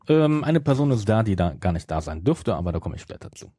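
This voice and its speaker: A middle-aged man with a low voice that moves up and down a lot. He talks casually as a podcast co-host, stumbling and correcting himself along the way. The sound is close and dry. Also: very animated, fast talker, warm tone, husky, dry recording. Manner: hesitant, self-correcting, many broken-off restarts. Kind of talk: casual podcast talk, retelling a story.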